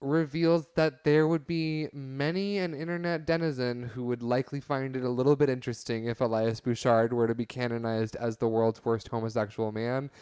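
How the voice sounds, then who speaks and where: A man's voice: mid-range voice, flat delivery, normal speed, hardly any pauses, darkish tone, clear, resonant tone, no echo. A young man argues casually as a podcast panelist. His voice is clean and resonant, with very little room sound. He phrases things wryly, in long mock-formal sentences.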